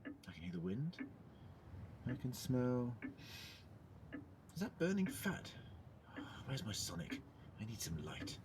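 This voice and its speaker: Theatrical, wildly animated eccentric time traveller, played by a man. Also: mid-range voice, takes it slowly, long pauses, very noisy. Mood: puzzled, muttering. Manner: theatrical, self-questioning, swinging from muttering to declaiming. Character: eccentric thousand-year-old male alien time traveller, muttering, indignant. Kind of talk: audio drama acting.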